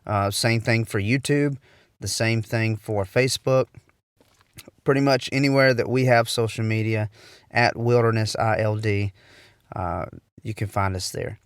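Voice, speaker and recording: A man's voice: lowish voice, fairly even intonation, a little slow, clean tone, no echo, clean studio sound. Stuffy-nosed man hosting a podcast. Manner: rambling, with many ums and restarts. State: stuffy, nasal from a cold. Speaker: podcast host. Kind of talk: casual solo podcast talk.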